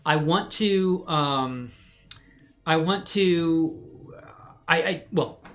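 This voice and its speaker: A woman hosting a live software tutorial, chatting casually and thinking aloud as she demonstrates. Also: low-pitched, drawn-out words. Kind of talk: casual tutorial livestream chat. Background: music playing behind the voice.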